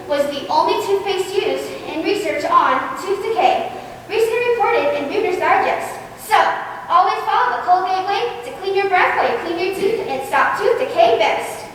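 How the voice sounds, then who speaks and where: A woman's voice: very high voice, expressive, normal speed. A woman playing an upbeat commercial announcer, reading a scripted vintage radio advertisement. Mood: promotional. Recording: echoey hall from far off, faint hiss.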